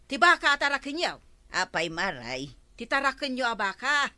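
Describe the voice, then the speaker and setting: A woman with an Ilocano accent playing a sharp-tongued, complaining village woman in a radio comedy drama. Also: fairly high pitch, very animated, moderate pace, full character voice. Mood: indignant.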